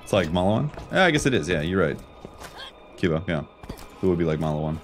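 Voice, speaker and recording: A man's voice: deep voice, very animated, fast talker. A man streaming a video game, chatting casually in an informal, slangy way as he muses and comments on the game. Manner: informal, slangy, conversational musing. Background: video game music.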